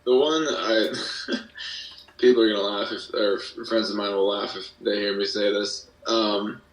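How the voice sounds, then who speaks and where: Man, lowish pitch, roomy echo, casual podcast guest, slangy, rambling. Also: steady tone, a little slow. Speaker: podcast guest, musician and audio drama creator. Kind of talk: casual chat interview. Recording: roomy echo, distant from mic.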